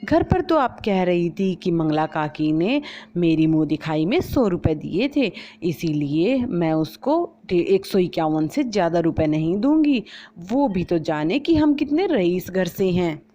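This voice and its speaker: A woman's voice: on the low side, big pitch swings, unhurried, warm tone. A woman voicing a cheeky, blunt young daughter-in-law who blurts out everything, in a storybook. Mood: innocently blunt. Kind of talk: storybook voice acting. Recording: slightly dull lo-fi recording.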